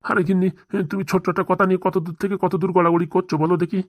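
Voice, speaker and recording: A woman's voice: fairly low pitch, little pitch movement, rapid-fire, dry recording, studio quality, close to an everyday voice. A woman voicing an exasperated, placating husband and father in a comedy cartoon. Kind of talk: Bengali comedy cartoon dialogue.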